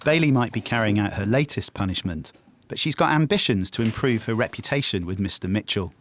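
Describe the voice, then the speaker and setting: A man narrating a documentary in a steady, informative scripted read. Faint clicks sit under his voice. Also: lowish voice, fairly quick, slight background noise.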